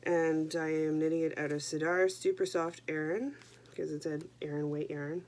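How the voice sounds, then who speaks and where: A woman's voice: deep voice, steady tone, normal speed, a little mellow, slight background noise. A young woman chatting casually to camera about her knitting, stuffy from a head cold, with joking asides. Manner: chatty, self-deprecating, jokey asides. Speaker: knitting podcast host.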